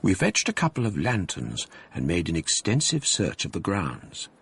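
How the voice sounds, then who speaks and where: A man giving grave, measured narration of a period ghost story for radio drama. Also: low-pitched, huge pitch swings, vocal fry, a bit bright, breathy edge, full character voice.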